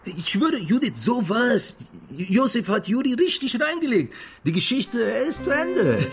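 An actor playing an excitable young taxi driver in a radio drama, husky-voiced and full of street slang, stumbling over long words. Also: male voice, very high voice, unhurried, music in the background, full character voice. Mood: insistent, earnest. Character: excitable young male taxi driver, loyal chatty sidekick. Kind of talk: modern radio drama acting.

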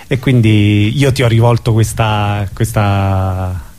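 A man's voice: fairly low pitch, slow, a little mellow. A man hosting casual live radio chat, informal and hesitant, often stumbling over his phrasing. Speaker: radio host.

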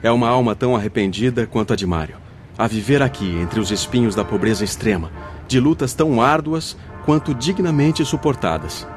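A Brazilian actor in a radio drama playing a wise, patient spirit mentor, teaching in a solemn, formal manner. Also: male voice, medium pitch, expressive, brisk, close to an everyday voice. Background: soft music.

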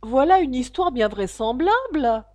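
A woman voicing an anxious, shrill female pigeon guarding her eggs, shrieking and scornful. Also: animated, measured pace.

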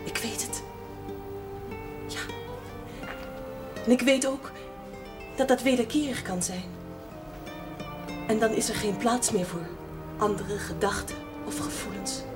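A resolute, earnest village woman in a period TV drama. Her voice is a little high, solemn and direct. She sounds somewhat away from the microphone, with music clearly behind. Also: slow pace, pauses often. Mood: resolute, sad. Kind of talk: television period drama acting. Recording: distant microphone, music behind, 1970s television.

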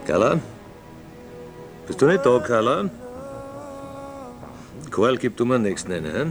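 A man playing a weary, patronizing late-night radio host in a radio drama, with an Austrian accent and a halting, distracted way of talking. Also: mid-range voice, sing-song, music in the background. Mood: puzzled, searching. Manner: murmured interjections, halting, distracted.

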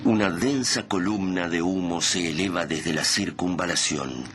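A man's voice: low voice, moderate pace. A man narrating a radio drama in a solemn, literary, dramatic style. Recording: clear background noise and ambience.

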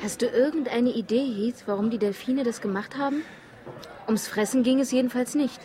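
Woman acting as feisty young student girl in a german radio play, teasing, sharp tongue, highish voice. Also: a little fast, faint music behind, close to an everyday voice. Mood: questioning, appalled.

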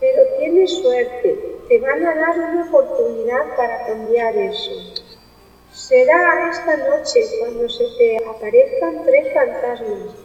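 A woman's voice: sits high, takes it slowly, a little mellow, highs cut off, soft background music. A woman playing the solemn, admonishing spirit of a dead friend dragging chains in an amateur radio play. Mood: grave warning. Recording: distant, echoey long reverb effect.

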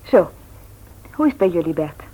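An actress playing a caring, frank young medical student in a radio drama, earnest and slightly hesitant. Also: female voice, on the low side, expressive, normal speed, warm tone, breathy edge. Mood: friendly, attentive. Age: young adult. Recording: thin, phone-like old radio recording.

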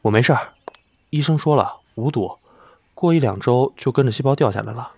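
A man's voice: medium pitch, a little fast, breathy edge, no room sound. A man playing a devoted, shy young idol who speaks earnestly and hesitantly in an audio drama. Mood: eager, hesitant.

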